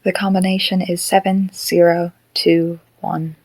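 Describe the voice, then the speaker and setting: Woman playing a calm, polite living marionette. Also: fairly low pitch, unhurried, dry recording. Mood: dry. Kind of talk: fan audio drama acting.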